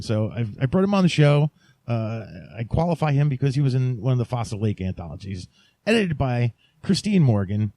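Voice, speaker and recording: A man's voice: medium pitch, warm tone, dry recording. A man hosting a podcast solo, talking casually and enthusiastically with frequent digressions. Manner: informal, digressive, enthusiastic about indie writers. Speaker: podcast host.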